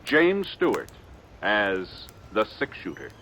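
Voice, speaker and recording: Dramatic male radio announcer, lively intonation. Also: fairly low pitch, background noise. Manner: dramatic, formal, measured delivery. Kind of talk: 1950s radio announcing, scripted narration. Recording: dull vintage radio recording with music behind.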